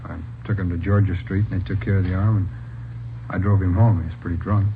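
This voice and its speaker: An American actor playing an anxious, respectful young policeman in 1950s radio drama style, halting and pleading. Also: low voice, fairly even intonation. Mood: respectful, uneasy. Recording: old radio, narrow band, noisy.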